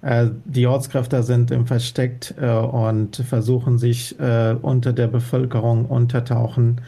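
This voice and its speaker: Earnest man, gravelly low-key voice. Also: level tone, a little slow, mellow. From Afghanistan. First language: Dari. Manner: earnest, many hesitation fillers. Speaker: guest, former interpreter. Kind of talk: radio interview answers.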